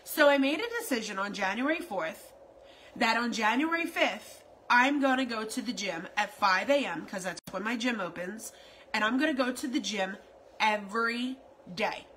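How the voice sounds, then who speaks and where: Bright-voiced chatty woman selling on a phone live. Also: a little high, normal speed, slight background noise, rough recording. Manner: chatty, rambling, repetitive, salesy. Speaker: wellness product seller. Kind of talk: casual live video promo. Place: indoors at home, kitchen. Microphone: phone camera at arm's length.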